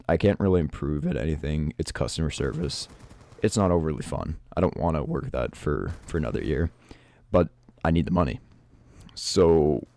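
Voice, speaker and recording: A teenage guy from Canada, sick and stuffed up with a raspy voice, talking through his uncertain future in a hesitant, reflective vlog with many restarts. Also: rumbling low, mellow. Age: teens. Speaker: YouTuber.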